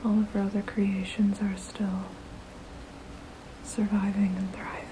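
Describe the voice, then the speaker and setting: A woman voicing a gently protective, motherly vampire in a soft, breathy ASMR whisper, casual and soothing. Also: mid-range voice, little pitch movement, a little hiss or hum, lightly acted, poor audio. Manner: hesitant, casual, soothing, unhurried.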